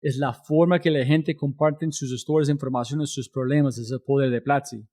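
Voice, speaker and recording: A man's voice: mid-range voice, fairly even intonation, moderate pace, warm tone. A man hosting a casual interview, asking long, rambling questions in a friendly way. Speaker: interview host.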